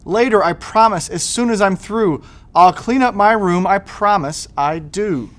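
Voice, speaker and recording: A man's voice: high voice, unhurried, a bit bright. A man voicing a playful, eager little girl in a children's storybook, with a bouncy rhyming delivery. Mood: promising. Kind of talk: children's storybook read-aloud.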